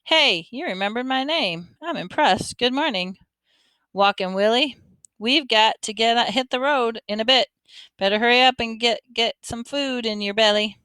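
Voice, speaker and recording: Teasing old grandpa type, jokey and drawling, kinda higher voice, woman doing him. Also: normal speed, sharp tone, clear, resonant tone, no echo. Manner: joking, drawling. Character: teasing older man, a grandfather.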